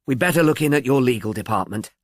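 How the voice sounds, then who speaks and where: A man voicing a discreet, businesslike police investigator, brisk and polite, in an audiobook. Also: medium pitch, animated, dry recording, studio quality, natural voice. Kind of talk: audiobook character dialogue.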